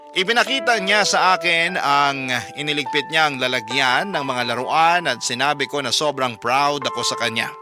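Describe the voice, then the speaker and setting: A man narrates a heartfelt letter as a radio storyteller. His mid-range voice is measured and sentimental, with music clearly playing behind it. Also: medium pitch. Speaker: radio drama host and narrator. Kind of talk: scripted first-person story narration, reading a letter. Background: background music.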